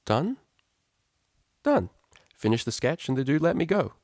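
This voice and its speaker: A man's voice: a little high, very animated, unhurried, a little mellow, dry recording, studio quality, pitched above the performer's own voice. A man voicing a laid-back, playful young female unicorn DJ who talks in casual slang.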